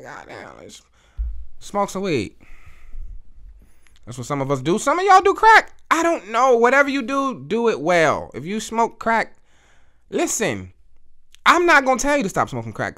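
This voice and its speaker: A man in his thirties talks straight into a studio boom mic, hosting his own podcast in a small room. His voice is bright and smooth, and his pitch rises and falls a great deal. He is blunt and profane, and he circles back on his own phrases as he tells a story. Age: 30s. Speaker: podcast host. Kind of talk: casual solo podcast monologue. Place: small indoor studio with photo wall. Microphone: studio mic on boom arm, headphones on.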